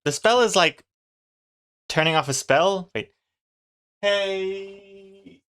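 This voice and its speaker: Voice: mid-range voice, extremely slow, fairly bright tone, clear, resonant tone. Casual, rambling livestreamer with a mixed accent. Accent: mixed, not quite New Zealand. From New Zealand. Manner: rambling, thinking aloud. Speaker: comic artist livestreamer. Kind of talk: casual livestream chat with viewers.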